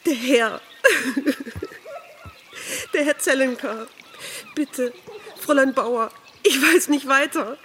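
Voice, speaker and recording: An actress playing a distraught, helpless woman in a radio play, pleading haltingly. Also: high-pitched, wildly swooping pitch, a bit bright, heavily acted.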